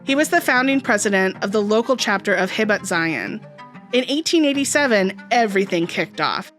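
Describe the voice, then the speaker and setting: A woman's voice: mid-range voice, expressive, normal speed, fairly bright tone, soft background music. Woman narrating history with dry asides. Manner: dry asides in a storytelling delivery. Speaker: educational video host.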